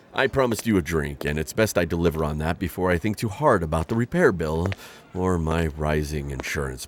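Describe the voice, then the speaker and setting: An awkward alien bounty hunter with an insect's stiffness, deep and low-pitched, his pitch leaping about as he talks himself in circles. His manner stays formal and flustered in a roleplay audio drama. A faint hum of ambience sits behind him. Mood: wistful. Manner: formal, flustered, rambling and overexplaining.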